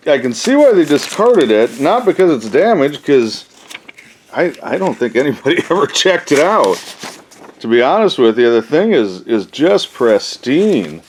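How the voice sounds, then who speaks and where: A man hosting a casual, unscripted collector's haul video, thinking aloud in a rambling way with folksy phrasing. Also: a little high. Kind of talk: casual show-and-tell, unscripted.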